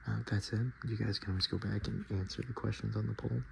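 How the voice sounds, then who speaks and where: A man hosting softspoken, whispered ASMR in a murmured, unhurried, casual and self-deprecating way. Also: on the low side, steady tone, vocal fry, a little hiss or hum, poor audio. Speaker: ASMR video host.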